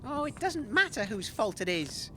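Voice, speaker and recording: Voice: mid-range voice, very animated, moderate pace, bright, forceful tone, a little hiss or hum. A performer playing a dim, hapless male DJ in a comedy audio drama, whiny and hesitant. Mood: mournful.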